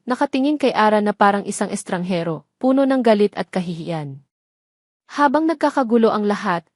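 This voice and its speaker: A woman's voice: mid-range voice, darkish tone, dry recording, clean studio sound. A woman narrating a scripted dramatic audio story.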